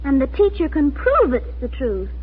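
A woman's voice: sits high, wildly swooping pitch, moderate pace, soft background music. Earnest eleven-year-old girl, piping childlike voice, vintage radio drama, scratchy thin sound. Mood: earnest doubt. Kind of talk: 1940s radio acting. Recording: scratchy, tinny 1940s radio recording.

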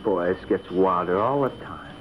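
An actor playing a defiant teenage suspect, answering with resentful, sarcastic backtalk in an old radio crime drama. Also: male voice, low voice, expressive, normal speed, a little hiss or hum. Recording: tinny old radio broadcast.

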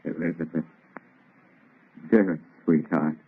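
An actor playing a tormented young scientist with fervent, theatrical delivery in an old radio melodrama. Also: male voice, low voice, steady tone, a little slow, slightly rough. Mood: tender, fervent. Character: overwrought young scientist, tormented and intensely emotional. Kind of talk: 1930s radio melodrama acting. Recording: thin, tinny old radio recording.

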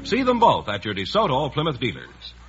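Animated male vintage radio announcer. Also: mid-range voice, a little fast. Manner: showman-like, emphatic. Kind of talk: 1950s radio announcing, scripted sponsor plug. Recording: dull 1950s radio recording, music behind.

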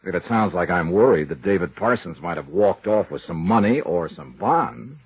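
Husky, shrewd old-time radio detective. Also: male voice, sits low, expressive, no echo, exaggerated voice. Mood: dry, pressing. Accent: American. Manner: brisk, dry, probing, wry. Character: shrewd, unflappable male freelance insurance investigator. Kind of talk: 1950s radio detective drama acting and first-person narration. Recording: muffled, roomless vintage 1950s radio recording.

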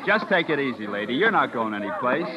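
A man's voice: mid-range voice, big pitch swings, quick pace, noisy background, close to an everyday voice. An actor playing a firm, smug policeman in an old-time radio mystery. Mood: firm, taunting. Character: firm, smug male policeman making an arrest. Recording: muffled vintage radio broadcast.

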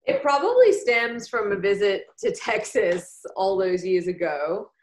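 A woman's voice: medium pitch, lively intonation, a little slow, a little mellow. Australian woman chatting in an echoey room. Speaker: podcast guest, barbecue cook. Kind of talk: casual interview chat. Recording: roomy, echoing sound.

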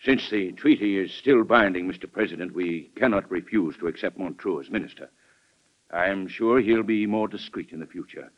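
An actor playing a reasonable, polished Secretary of State in a classic radio drama, speaking in a careful, formal, tactful way. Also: male voice, deep voice, moderate pace. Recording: dull old radio recording.

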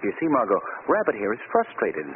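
An actor playing a suave, fearless wealthy gentleman crime-fighter, urbane and self-assured, in a 1940s radio drama. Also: lowish voice, huge pitch swings, fairly quick. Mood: amused, confident. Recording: scratchy, tinny 1940s radio recording.

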